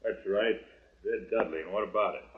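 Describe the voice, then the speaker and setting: A man's voice: lowish voice, brisk, lightly acted. An American man acting a swaggering, insolent cowboy trail boss in a 1950s radio western. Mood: defiant. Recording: dull, noisy old radio recording.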